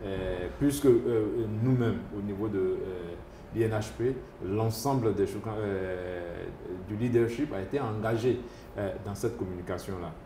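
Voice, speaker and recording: A man speaks in a low, warm voice, explaining things as a doctor in an interview. He pauses often with fillers and restarts his phrases. The sound is echoey, with faint room ambience behind him. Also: a little hiss or hum. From Côte d'Ivoire. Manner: hesitant, many fillers and restarts. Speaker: epidemiologist doctor. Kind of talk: interview answers.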